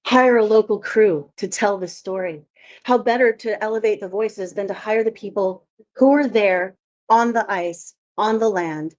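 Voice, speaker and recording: A woman's voice: mid-range voice, normal speed, darkish tone. A woman from Alaska giving a conference talk as a filmmaker, telling her story in a chatty, anecdotal way. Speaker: filmmaker presenter. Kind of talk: conference presentation. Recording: dull lo-fi audio.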